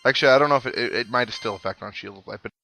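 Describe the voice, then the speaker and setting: A man's voice: mid-range voice, rapid-fire, vocal fry, bright, forceful tone. A man giving casual, rambling let's play commentary, thinking aloud as he plays. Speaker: gaming host. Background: video game music.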